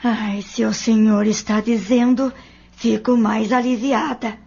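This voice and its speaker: A woman's voice: fairly high pitch, level tone, a little slow, gravelly, dark tone, husky, full character voice. A Brazilian woman playing a caring, anxious mother in an audio drama, polite and tender in her concern. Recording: dull lo-fi audio.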